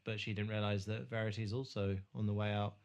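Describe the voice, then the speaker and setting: A man in casual podcast discussion, speaking thoughtfully and often pausing to search for his words. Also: on the low side, flat delivery, a little mellow. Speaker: podcast host.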